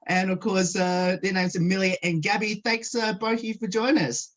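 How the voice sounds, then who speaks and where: A radio sports host introduces guests and asks questions. The delivery is rambling and hesitant, full of repeated stock phrases. The voice sits at a middling pitch. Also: steady tone, fairly quick. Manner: rambling, keeps repeating "of course" and "obviously". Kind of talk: radio interview hosting.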